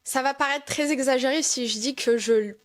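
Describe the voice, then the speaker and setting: An eighteen-year-old woman from France is a podcast guest, speaking in a slightly high voice. She answers questions carefully and pauses often to gather her thoughts. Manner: thoughtful, hesitant with fillers. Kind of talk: conversational interview answers.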